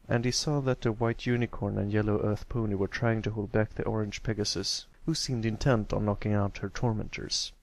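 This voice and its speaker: A man with a gravelly voice reading a scripted story as an amateur narrator. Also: on the low side. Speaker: amateur audiobook reader.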